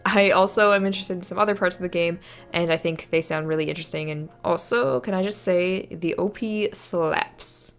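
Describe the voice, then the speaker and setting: A woman's voice: lowish voice, steady tone, normal speed, clear, resonant tone. A young adult woman giving casual, informal video commentary on games in a chatty, enthusiastic way. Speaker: video creator. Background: background music.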